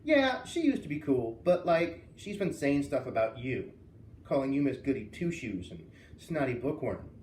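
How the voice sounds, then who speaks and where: A man acts out a catty preteen girl from a children's storybook, full of sassy slang. The pitch jumps around with gossipy, bossy energy. The sound is roomy and a little far from the mic. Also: sing-song, moderate pace, darkish tone, close to an everyday voice. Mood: catty, confiding. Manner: valley-girl slang, gum-snapping, sassy. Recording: roomy, distant mic, faint noise.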